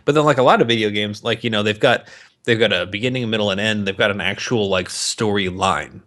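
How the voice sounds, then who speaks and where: A man with a fairly low voice talks casually as a podcast co-host. He is jokey and animated, with plenty of swearing in a loose, conversational delivery. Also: fast.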